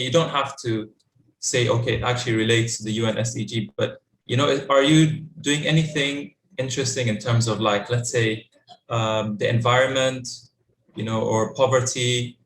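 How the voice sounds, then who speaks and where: A young man moderating a youth panel over a video call, halting with many fillers. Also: mid-range voice, fairly bright tone. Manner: halting, full of ums and you-knows. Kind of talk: hesitant casual moderating. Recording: echoey room.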